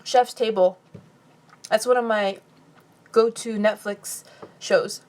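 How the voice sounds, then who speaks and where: A woman's voice: mid-range voice, fairly even intonation, darkish tone. A young woman from California, casually answering viewer questions on a phone live stream while eating. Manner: chatty, reads comments aloud and answers with quick laughs.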